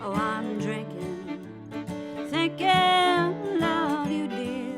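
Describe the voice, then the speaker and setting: A woman singing a slow folk love song live in a studio, with guitar. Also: high-pitched, lively intonation. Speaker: singer. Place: radio studio stage. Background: plucked guitar, loud.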